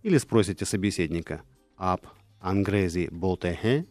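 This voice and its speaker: A man's voice: low voice, animated, moderate pace, darkish tone, dry recording, soft background music. A man speaking as an expert radio guest, explaining in a lecturing way with frequent fillers. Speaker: expert guest on languages of India.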